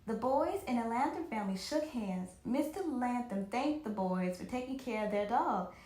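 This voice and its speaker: A woman with a fairly high voice reads a children's story aloud in a roomy, echoing space. Her delivery is very lively and expressive, with an occasional stumble as she goes back over a phrase. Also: normal speed. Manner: animated, with occasional stumbles and rereadings.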